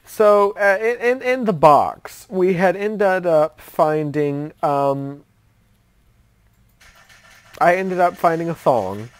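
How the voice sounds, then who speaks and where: A woman's voice: fairly low pitch, animated, takes it slowly, long pauses, no echo. Rambling young woman hosting a voice chat. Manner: rambling, hesitant, many restarts and fillers. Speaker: host of a story-time voice chat. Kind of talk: casual storytelling over voice chat while gaming.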